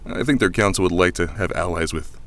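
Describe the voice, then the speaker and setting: A man playing a reserved, seasoned alien pilot in a science fiction audio drama, with a measured, understated delivery. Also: low-pitched, slight background noise, lightly acted.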